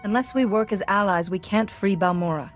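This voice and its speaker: An actress playing a calm, principled young Jedi in a video game, measured and earnest. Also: female voice, mid-range voice, natural voice. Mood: firm, measured. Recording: music playing behind the voice.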